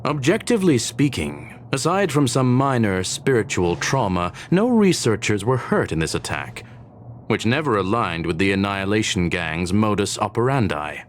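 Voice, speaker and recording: Deep-voiced, logical genius ruler. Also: male voice, big pitch swings, normal speed, slight background noise. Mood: analytical. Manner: formal, analytical, clipped logical statements. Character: courteous, coolly logical male genius ruler. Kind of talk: scripted video game cutscene dialogue.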